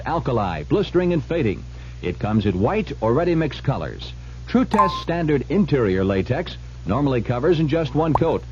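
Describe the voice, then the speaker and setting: Animated male radio ad announcer. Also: on the high side. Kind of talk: scripted retail radio commercial. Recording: muffled vintage radio with faint hum.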